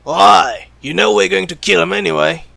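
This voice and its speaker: A man's voice: high-pitched, moderate pace, creaky, pushed and bright, dry recording, full character voice. A man playing a flippant, callous secret agent with a sardonic, teasing manner in an audio roleplay. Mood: flippant, menacing. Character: callous, sarcastic male secret agent who jokes about killing. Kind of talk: ASMR roleplay acting.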